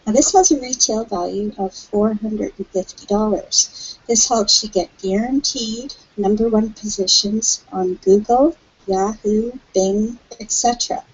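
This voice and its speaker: A woman from Alberta co-hosting a live webcast, reading a sales offer aloud from the screen in a brisk manner. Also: mid-range voice, slightly rough, dull audio. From Alberta, Canada. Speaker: webcast co-host.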